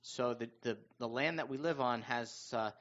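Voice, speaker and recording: Casual mid-pitched man, muffled radio audio. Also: normal speed, a bit bright. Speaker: radio talk show panellist. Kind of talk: casual explanatory chat. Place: radio show panel discussion.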